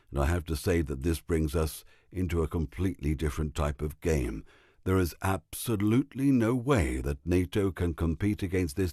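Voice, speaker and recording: A man playing a grave military expert, giving measured, ominous analysis in a mock news drama. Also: deep voice, warm tone, no echo, studio quality.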